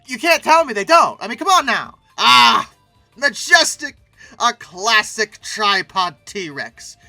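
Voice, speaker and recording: An intensely bright, forceful voice hosts casual reaction commentary in a close, dry recording. The pitch swings widely, with theatrical emphasis and words stretched out for comic effect. Music plays softly behind the voice. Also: no room sound. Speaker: video host reacting to old paleoart.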